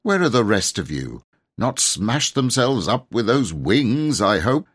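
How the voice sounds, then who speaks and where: Man voicing a husky, grumpy old sand fairy. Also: on the low side, very animated, unhurried, a bit bright, heavily acted. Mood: brusque.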